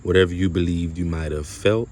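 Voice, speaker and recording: A man delivering an unscripted spiritual monologue to viewers, halting and emphatic, often repeating his phrases. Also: sits low, fairly even intonation, darkish tone, slight background noise. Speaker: spiritual video creator.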